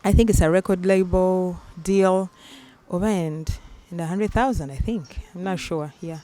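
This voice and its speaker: A woman's voice: on the low side, moderate pace. A young Ugandan woman singer, chatting in an interview and acting out the people she quotes. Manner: animated storyteller, acts out quotes. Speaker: singer guest. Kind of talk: casual interview, mixing Luganda and English.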